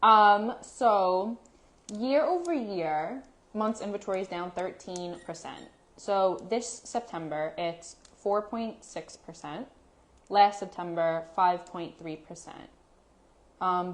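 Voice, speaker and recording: A woman co-hosting a casual real estate chat, giving brief, easygoing replies and then reading out market stats. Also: medium pitch. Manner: slow, brief replies, easy laughs.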